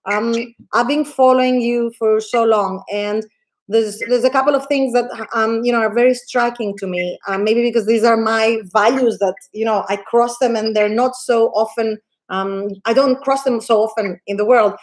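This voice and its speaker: A woman's voice: on the high side, steady tone, normal speed. Gushing woman host. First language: Greek. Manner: effusive, enthusiastic, with frequent restarts. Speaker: host of a live online interview. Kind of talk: casual livestream interview.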